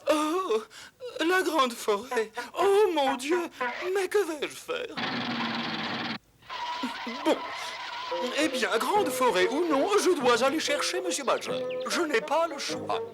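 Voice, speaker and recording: Casting: anxious, kindly little mole, high swooping voice, fretful exclamations, cartoon delivery, loud music underneath. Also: very animated, slow, exaggerated voice. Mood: nervously determined. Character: timid, kindly, anxious young mole gentleman. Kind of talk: cartoon dub acting.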